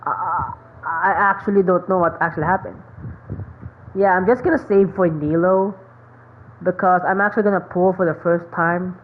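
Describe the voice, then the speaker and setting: A woman's voice: fairly low pitch, steady tone, moderate pace. A woman giving casual, rambling gameplay commentary, thinking aloud as she streams. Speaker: gaming streamer. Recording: thin phone-like mic, noisy.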